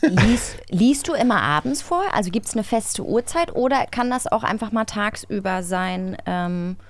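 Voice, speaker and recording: A woman's voice: mid-range voice, expressive, unhurried. A woman co-hosting a podcast, asking questions in a casual, chatty style. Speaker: podcast co-host. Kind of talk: casual chat, quiz-show questioning.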